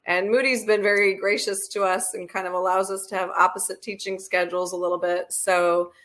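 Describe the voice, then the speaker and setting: A woman professor answering interview questions, warm and laughing easily. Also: medium pitch, flat delivery, fairly quick. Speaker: guest, professor.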